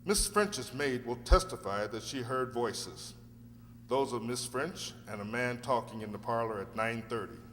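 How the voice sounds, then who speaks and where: A man's voice: medium pitch, unhurried, a bit bright, lightly acted. A man in a radio play acting an earnest, courteous defence lawyer who speaks formally and politely. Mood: frank, careful. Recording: echoey hall, faint noisy hum.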